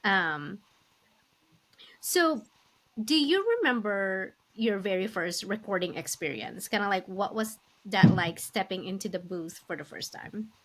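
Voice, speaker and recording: A woman's voice: medium pitch, expressive, measured pace, rough recording. A woman co-hosting a podcast in casual chat who laughs easily and talks in a loose way full of fillers. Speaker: podcast co-host.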